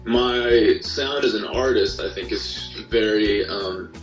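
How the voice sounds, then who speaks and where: A man with a fairly low voice talks casually as an interview guest, explaining things reflectively. The recording is roomy and a bit off the mic, with music behind him. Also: slow. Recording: roomy, slightly distant-sounding, music underneath.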